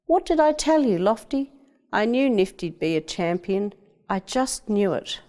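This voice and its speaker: A woman's voice: mid-range voice, sing-song, unhurried, a little mellow. A woman voicing an eager, hopeful young boy with an Australian accent in a children's storybook. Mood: eager, proud.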